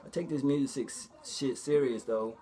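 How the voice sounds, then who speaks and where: A man's voice: mid-range voice, normal speed, dark tone. Casual, slangy man vlogging. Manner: slangy, profane, conversational. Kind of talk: casual vlog talk to viewers.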